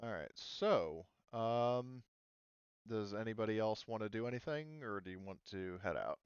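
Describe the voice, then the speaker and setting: A man running an online tabletop roleplaying game as game master, explaining rules casually in a halting way, thinking aloud. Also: fairly low pitch, expressive, clean tone, no echo, lo-fi sound.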